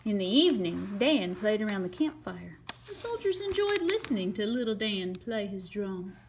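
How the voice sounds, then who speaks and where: A woman reading a children's picture book aloud as an expressive, dramatic storyteller. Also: mid-range voice, moderate pace, noisy background.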